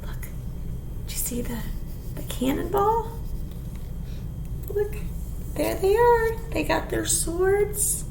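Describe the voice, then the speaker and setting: A woman with a very high voice leads a children's storytime at an unhurried pace. She speaks expressively to young listeners, with some creak in her voice. The small room adds echo. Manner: expressive, clearly addressing young children, with simple questions. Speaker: children's librarian leading storytime. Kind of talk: animated children's picture-book read-aloud. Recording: echoey small room, background hiss.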